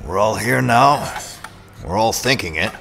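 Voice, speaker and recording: A man's voice: on the low side, lively intonation, measured pace, vocal fry, sharp tone, a little hiss or hum, exaggerated voice. A man playing an irritable, suspicious mercenary robot pilot in an audio drama, sardonic and confrontational.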